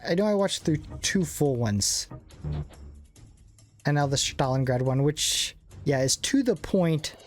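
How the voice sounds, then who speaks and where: A man's voice: fairly high pitch, lively intonation, unhurried. A young man giving casual, rambling commentary on a strategy game, joking and poking fun at himself. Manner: rambling, joking, self-deprecating asides. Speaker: gaming channel host. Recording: game music playing behind. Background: game music.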